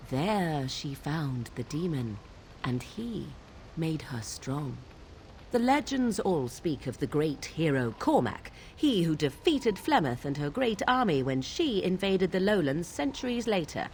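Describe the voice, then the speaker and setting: An actress playing an aloof, sardonic young witch of the wilds in a fantasy video game, with formal archaic diction and a deliberate, theatrical delivery. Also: low-pitched, sing-song, a little mellow, slight background noise, exaggerated voice. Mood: storytelling, cool.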